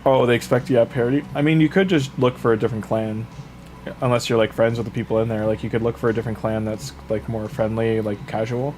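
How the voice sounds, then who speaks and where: A man's voice: mid-range voice, fast talker, slight background noise. A man streaming a game late at night, rambling casually to his chat with lots of fillers, sniffly from the tail end of a cold. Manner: rambling, filler-heavy, relaxed advice to chat. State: sniffly, getting over a cold. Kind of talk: casual livestream chat with viewers.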